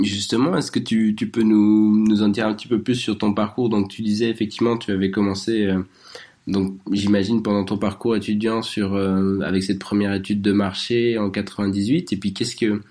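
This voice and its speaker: A man's voice: on the low side, a little mellow. A man hosting a conversational podcast interview, forming his questions hesitantly with repeated words. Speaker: podcast host.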